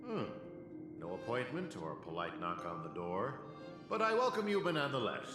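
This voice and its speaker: Smug scheming professor villain. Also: male voice, fairly low pitch, huge pitch swings, normal speed. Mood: smug lecturing. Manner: theatrical, condescending lecturing. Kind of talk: video game voice acting. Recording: roomy game audio with music.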